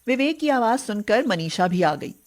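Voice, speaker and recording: A woman's voice: on the high side, big pitch swings, normal speed. A woman narrator reading a scripted moral story.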